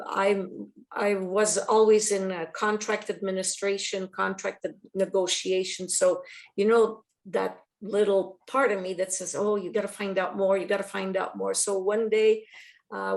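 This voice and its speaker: A woman guest telling a personal story in a lively way, acting out quoted dialogue. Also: medium pitch, creaky. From Montreal, Canada. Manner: animated, acts out quoted dialogue. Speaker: guest, foundation chapter coordinator.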